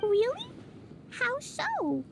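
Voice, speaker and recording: A woman's voice: huge pitch swings, very slow, gravelly, background noise, exaggerated voice. A woman voicing an innocent, curious little girl in a video game, with a childlike, earnest way of talking. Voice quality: high, childlike. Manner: simple, earnest, exclamatory. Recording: music playing behind the voice.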